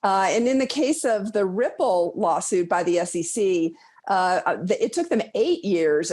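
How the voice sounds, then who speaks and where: A woman giving unscripted legal commentary in a measured, halting way with stammered restarts. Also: medium pitch, darkish tone, husky.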